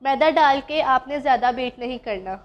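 A woman's voice: high voice, normal speed, dull audio. A woman from Pakistan, patiently explaining a recipe step by step as a cooking channel host. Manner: patient, instructive, addresses viewers directly.

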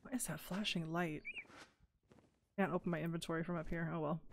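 A young woman casually commentating her Minecraft let's play, excitable and gushing over cute animals, a little hoarse. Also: lowish voice, fairly quick, a little hiss or hum. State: slightly hoarse. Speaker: gaming YouTuber.

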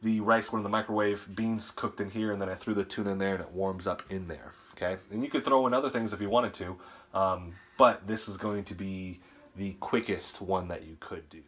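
A man in his thirties with a low, husky voice talks casually through a quick meal as a personal trainer. He films himself on a phone at arm's length in a small home kitchen. He explains as he goes and keeps checking in with "right?" and "okay?". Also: steady tone, a bit bright. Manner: chatty, explanatory, tags lines with "right?" and "okay?". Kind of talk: casual cooking and nutrition vlog. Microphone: phone camera held at arm's length.

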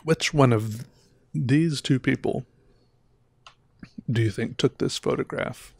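A man's voice: medium pitch, slow pace, vocal fry, a little mellow, no room sound. A man in his thirties with a slightly sore throat, chatting casually and rambling as he reviews viewer art on a headset mic in a small room. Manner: rambling, self-interrupting, chatty. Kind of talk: casual livestream commentary. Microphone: headset with boom mic.

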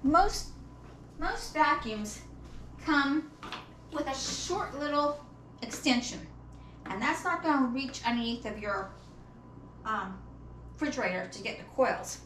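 A woman's voice: high voice, expressive, takes it slowly, pauses often, a bit bright. A middle-aged woman explains things plainly, step by step, in a casual home vlog, recorded by a camera a few metres away in a kitchen. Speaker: home cleaning vlogger. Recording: roomy echo, clear background noise.

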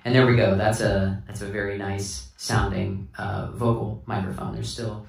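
A man with a lowish voice and plenty of fry explains things casually, step by step. He is unhurried, like an instructor working as he talks. The sound is roomy, picked up at a distance from the mic. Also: little pitch movement. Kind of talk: casual hands-on tutorial talk. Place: indoor room with sound system.

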